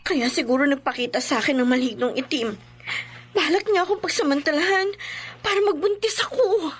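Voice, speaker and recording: A woman's voice: high voice, a little fast, lightly acted. An actress playing an anxious, respectful young married village woman in a horror radio drama, worried and pleading. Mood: fearful, distressed.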